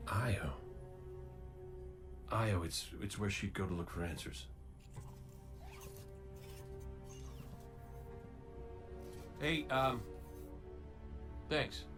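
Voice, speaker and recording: An actor playing a cocky, wisecracking robot gunslinger in a video game, flippant, swinging from mumbled asides to exclamations. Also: male voice, fairly low pitch, lively intonation, slow, over music. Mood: pensive. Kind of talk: video game cutscene acting.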